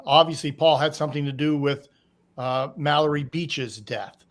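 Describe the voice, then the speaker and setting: A man's voice: a little high, normal speed. A man with a Boston accent speaking as a panel guest, offering his personal take in a casual discussion. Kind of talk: casual opinion commentary.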